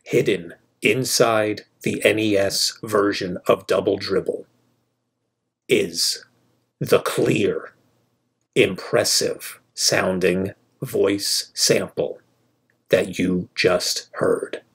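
A man narrating a scripted video about a video game, in short, measured phrases. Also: on the low side, fairly even intonation, creaky, husky.

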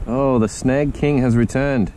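A man's voice: medium pitch, measured pace, slight background noise, rough recording. A man chatting and teasing his friend while fishing from a kayak out on the water. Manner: relaxed, teasing. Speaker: fishing vlogger. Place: kayak on open water, outdoors.

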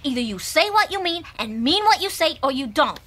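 An actress playing a stubborn, touchy, uncouth wife, argumentative and slangy, in a comic audio drama. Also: female voice, sits high, very animated, fairly quick, a bit bright. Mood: offended.

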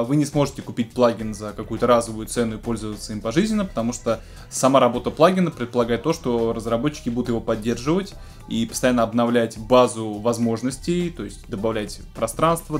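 Male host, thirties, mid-pitched, conversational explainer of music tech news, music underneath. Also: brisk. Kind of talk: casual news presenting to camera. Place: small home music studio, indoors.

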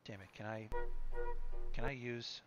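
A man giving casual, profane and excitable reaction commentary as a show host, sometimes reading text aloud. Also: mid-range voice, a little slow, music in the background. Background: audio of the horror video being watched.

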